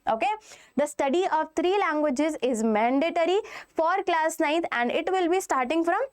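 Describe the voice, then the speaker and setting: A woman's voice: high voice, moderate pace. A young woman teacher with an Indian English accent, explaining a school policy to students and checking in with them often. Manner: addresses students directly, checks in with "okay" and "right".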